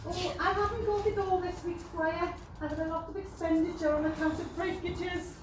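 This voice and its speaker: A woman plays a put-upon pantomime mother with a very high, theatrical, exclaiming voice. She sounds far from the microphone, with echo around her. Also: steady tone, normal speed. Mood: protesting. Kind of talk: stage pantomime acting. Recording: echoey stage, faint noise, far off.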